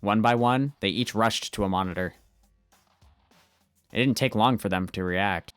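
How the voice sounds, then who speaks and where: An earnest, anxious schoolboy voiced by a man with a fairly low voice tells his own story. It is amateur visual novel narration, recorded dry over soft music. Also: normal speed, clean tone, dry recording, lightly acted. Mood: tense narration. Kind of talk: scripted first-person visual novel narration, amateur fan dub.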